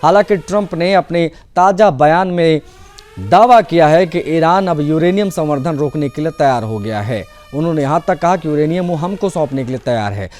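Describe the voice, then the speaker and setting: A male news presenter with a slightly high voice reads scripted news narration. His delivery is formal and emphatic, with music playing faintly behind him. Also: darkish tone.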